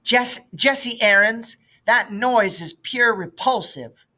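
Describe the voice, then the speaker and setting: A prissy, snotty schoolgirl, acted out by a man, pitched well up and scornful. It is a bright storybook character voice, full of disdain. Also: slow pace, full character voice. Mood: disgusted. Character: snotty, priss-faced fifth-grade girl.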